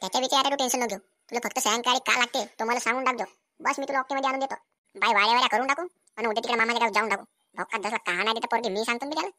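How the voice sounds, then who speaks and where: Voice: medium pitch, very fast, pushed and bright, lightly acted. A performer voicing a crude, frustrated village bachelor in a comic cartoon, grumbling and swearing in rural Vidarbha Marathi slang. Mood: scheming, boastful. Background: music.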